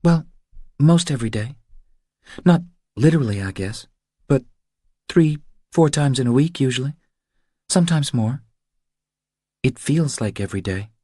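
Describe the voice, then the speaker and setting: A battered young mother as a male audiobook narrator plays her pleads her case in short, earnest phrases. The voice is soft, husky and lifted, recorded very dry, with a trapped yet defiant temper. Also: mid-range voice, expressive, unhurried, pauses often, no room sound, pitched above the performer's own voice. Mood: subdued, guarded.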